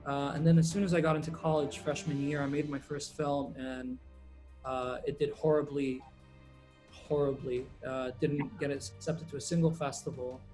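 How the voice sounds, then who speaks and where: A man's voice: medium pitch, normal speed, soft background music, low-quality recording. Laid-back man, filmmaker guest. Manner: relaxed, rambling, many ums and uhs. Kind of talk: casual interview answers.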